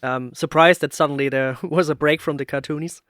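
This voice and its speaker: A man hosting a casual interview, speaking in a halting way as he searches for words. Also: on the high side, fairly even intonation, fairly bright tone, no echo, clean studio sound. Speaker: podcast host, interviewer, works in sound.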